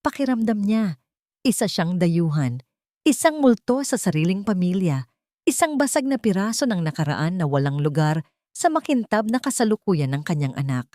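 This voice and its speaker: A woman's voice: medium pitch, big pitch swings, moderate pace, warm tone, no room sound. Filipino woman narrating, close and dry. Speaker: story narrator. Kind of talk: scripted dramatic narration.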